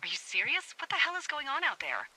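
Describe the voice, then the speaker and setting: A woman's voice: sits high, wildly swooping pitch, fast talker, sharp tone, full character voice, low-quality recording. A woman playing a wry, profane fire lookout supervisor over a two-way radio, in natural, conversational video game acting with stammered repetitions. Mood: alarmed. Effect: two-way radio filter. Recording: walkie-talkie sound with faint noise.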